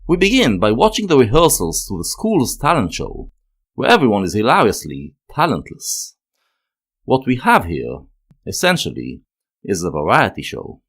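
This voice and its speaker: A man with a fairly low voice narrates a scripted video essay. His pitch swings widely as he explains each point in a measured, literary way, like a critic laying out an argument. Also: huge pitch swings, fairly bright tone.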